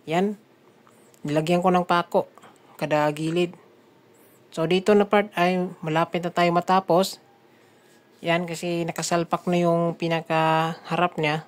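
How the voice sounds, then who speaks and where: A Filipino woman with a fairly low voice narrates a hands-on how-to video. She speaks in a casual, conversational way and often addresses her viewers as a group of friends. Her delivery is that of a DIY builder explaining the work step by step. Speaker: DIY speaker-box builder and vlog host.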